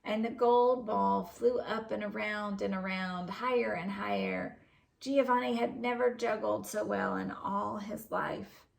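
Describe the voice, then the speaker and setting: Female storybook narrator, very gravelly fry, unhurried and expressive delivery. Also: mid-range voice. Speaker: storybook reader. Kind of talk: scripted narration, children's story read aloud.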